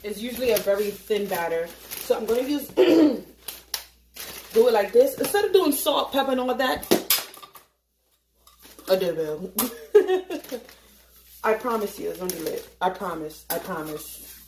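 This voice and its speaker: A woman talks her way through a late-night cooking session in her home kitchen, joking and swearing casually as she works. She sounds tired but chatty. The room is echoey, with clear background noise under her voice. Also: mid-range voice, big pitch swings, a little slow, long pauses. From Haitian family. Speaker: home cook vlogger. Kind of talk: casual cooking vlog chat.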